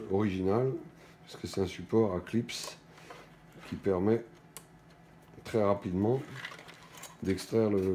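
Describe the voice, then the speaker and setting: A middle-aged man presents a product hands-on, unscripted. His voice sits very low and has a dark, relaxed tone. He speaks in halting stretches, stopping and picking up again, over a faint bed of room noise. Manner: halting, stop-and-go phrasing with trailing pauses. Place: indoors at a wooden table in a small shop or office.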